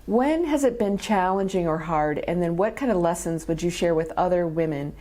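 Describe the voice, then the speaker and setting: A woman's voice: fairly low pitch, animated, moderate pace, warm tone. A woman hosting an interview, asking questions in an enthusiastic, encouraging way. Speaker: host, interviewer. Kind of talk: friendly interview.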